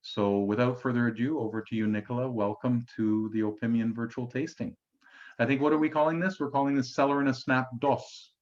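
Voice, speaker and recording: A man's voice: medium pitch, fairly even intonation, fairly quick, a little mellow. A man hosting an online event in an informal way over a video call. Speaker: host. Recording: dull lo-fi video-call sound.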